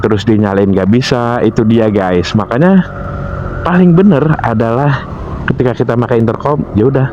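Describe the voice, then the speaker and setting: An Indonesian man with a mid-pitched voice talks through gear tips in an informal vlog style. He is instructive and repetitive, pausing to find words. The audio is dull and lo-fi, with faint vehicle noise behind him. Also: a little mellow. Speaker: motovlogger giving gear care tips. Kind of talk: informal explanatory vlog talk.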